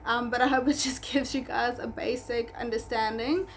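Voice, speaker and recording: A woman's voice: on the high side, steady tone, moderate pace, slight background noise. A young woman in her twenties from South Africa, Afrikaans by birth, chatting casually in a vlog with a South African accent and a rambling, self-correcting manner. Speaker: pet spa owner.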